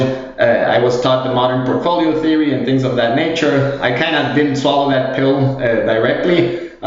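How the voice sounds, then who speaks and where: A man from Guatemala talking as a guest on a podcast, hesitant and casual, with many fillers. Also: mid-range voice. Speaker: guest, fund manager. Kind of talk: podcast interview. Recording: very echoey, dull remote audio.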